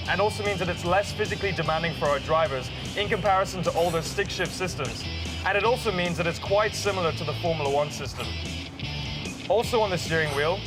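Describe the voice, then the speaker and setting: A man presenting an explanatory segment on racing equipment, step by step. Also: high voice. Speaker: presenter explaining race car technology. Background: music.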